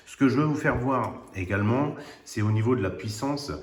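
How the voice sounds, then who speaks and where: A man's voice: mid-range voice, a little fast. A man informally demonstrating a product, earnest and a bit hesitant, repeating words for emphasis. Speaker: radio hobbyist presenter. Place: indoors at home radio station. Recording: roomy, echoing room sound.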